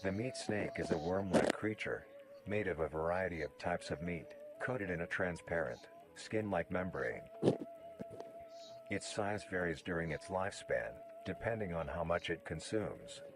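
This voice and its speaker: Low voiced guy doing formal nature doc narration, measured, music behind him. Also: animated. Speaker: documentary narrator. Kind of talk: scripted nature documentary narration.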